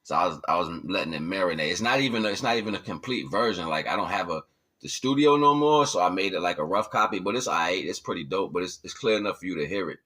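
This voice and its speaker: A man's voice: low-pitched, lively intonation, quick pace, bright, forceful tone. An American man chatting casually and informally to followers as a livestream host, with slangy speech and repeated catchphrases. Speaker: livestream host and independent musician.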